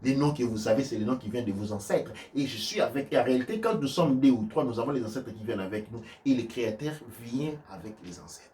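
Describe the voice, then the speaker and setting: A man giving religious teaching on offerings and prayers, speaking haltingly with many restarts and sudden shifts between loud and soft. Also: mid-range voice, lively intonation, distant mic, slight background noise. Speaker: spiritual teacher. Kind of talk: religious teaching in a live stream.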